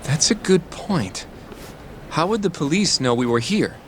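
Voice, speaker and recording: A man's voice: fairly high pitch, expressive, unhurried, background noise. A man acting in a game dub as an earnest young attorney, articulate and polite but firm. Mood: puzzled, concerned.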